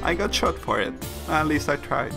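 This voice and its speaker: A man's voice: on the high side, lively intonation, moderate pace, gravelly. A man reading a scripted video essay that reviews games, in the role of narrator. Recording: music bed behind the voice. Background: music.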